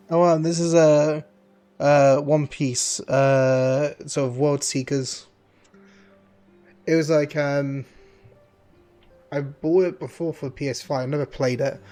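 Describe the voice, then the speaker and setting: A hoarse man streaming a game, chatting casually and rambling with many fillers and restarts. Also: a little high, fairly even intonation, slow, long pauses, mellow, faint music behind. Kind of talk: casual gameplay commentary.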